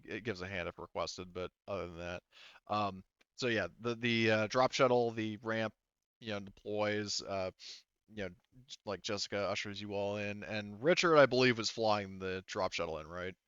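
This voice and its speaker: A man's voice: lowish voice, normal speed, a bit bright, clear, resonant tone, no room sound. A man improvising tabletop game narration as game master, speaking casually in rambling, looping phrases over a muffled connection. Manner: rambling, repeats "like" and "you know".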